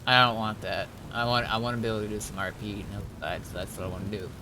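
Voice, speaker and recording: A man's voice: lowish voice, steady tone, quick pace, pushed and bright. A man who streams games, talking casually through roleplay in a hesitant, rambling way and repeating himself. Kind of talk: casual gameplay talk and improvised roleplay. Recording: slightly noisy home gaming recording.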